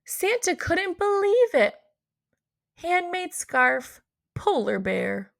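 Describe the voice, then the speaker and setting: A woman's voice: very high-pitched, very animated, slow, gravelly, fairly bright tone. A woman narrating a picture book aloud for children. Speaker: storybook reader.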